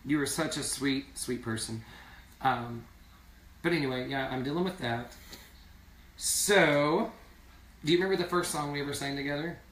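A hoarse man in his thirties chatting casually on a live stream from a small room, rambling and reading viewer comments aloud. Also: medium pitch, normal speed, long pauses, fairly bright tone, slight background noise. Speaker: singing duo member hosting a live stream. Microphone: phone camera at a distance. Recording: echoey room, from a distance.

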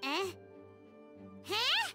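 A woman voicing a tiny fairy-like girl companion in a game, excitable, teasing and blunt. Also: extremely high pitch, music in the background, full character voice. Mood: excited. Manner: excitable, quick to tease and complain. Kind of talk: game voice acting.

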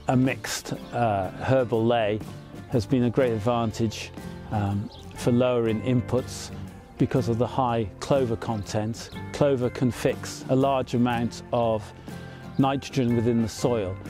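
Farmer talking in an open field. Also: male voice, medium pitch. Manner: measured, plain explanatory talk with small fillers. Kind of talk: explaining farm practices on camera. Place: open farm field outdoors.

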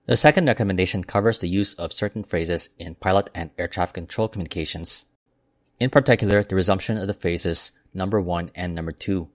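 A man with a deep, low voice reads aviation news in a steady, measured way. The recording is dry and close, with no room sound around him. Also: no room sound. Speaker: aviation news channel host. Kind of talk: scripted news narration. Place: voice-over, not recorded in the pictured airport.

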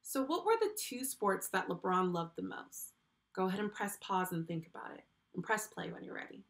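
A young woman hosting a children's lesson, speaking directly to the child with animated, encouraging praise. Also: medium pitch, normal speed, a little hiss or hum. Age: young adult. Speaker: children's read-along host and school speech therapist. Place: small furnished room at home, indoors.

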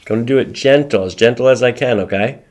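A man working as a chiropractor, gently and reassuringly talking a patient through an ASMR exam step by step. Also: medium pitch, fast talker. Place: small carpeted office treatment room. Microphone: microphone on a boom stand near the table.

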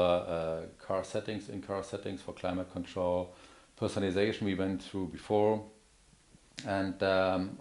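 A man's voice: low voice, a little mellow. A man presenting an unscripted product demonstration in a hesitant, explanatory way. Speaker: product demonstrator, account manager. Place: indoor office or showroom.

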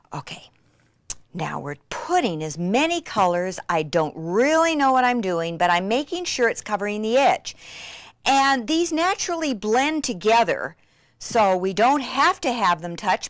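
A woman guides the listener through a craft technique as an unhurried demonstrator. Her pitch swings widely as she gushes, breaking into breathy, excited exclamations. The sound is close and dry, with very little room in it. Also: no echo. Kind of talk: hands-on craft tutorial with excited asides.

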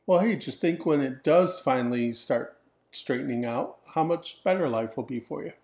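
A man's voice: medium pitch, normal speed, darkish tone. Easygoing man in his forties, rambly jokey home vlog talk. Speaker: vlog host. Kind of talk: casual chat. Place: living room, on a couch. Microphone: camera in front.

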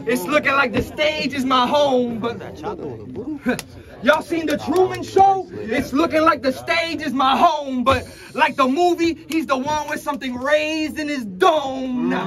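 A man's voice: very high-pitched, expressive, measured pace, crowd noise. A young man from the Republic, rapping a taunting battle verse in a crowded indoor room. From Dominican Republic. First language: Spanish. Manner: aggressive, taunting, punchline-heavy. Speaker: battle rapper. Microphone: handheld camera at close range.

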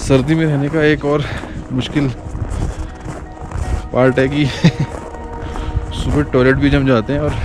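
A man's voice: mid-range voice, level tone, takes it slowly, pauses often, poor audio. A man in his thirties vlogging while walking outdoors through a snowy mountain valley, chatting casually into a camera he holds close and mixing English into his talk. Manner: chatty, mixes English into speech, reflective at times. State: out of breath from walking at altitude. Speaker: travel vlogger. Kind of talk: casual travel vlog narration. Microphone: action camera held close. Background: ambient music.

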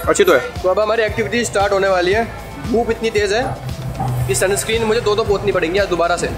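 A man's voice: sits high, fairly even intonation, fast, over music. A man in his thirties casually narrating a travel vlog outdoors into a handheld camera, chatty and joking with his companions. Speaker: travel vlogger. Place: outdoors on a sunny beach and street.

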